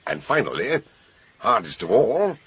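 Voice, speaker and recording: An actor playing a blunt British detective who reasons emphatically in a radio mystery drama. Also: male voice, mid-range voice, sing-song, fairly bright tone, a little hiss or hum. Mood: emphatic, argumentative. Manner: emphatic, argumentative, deliberate.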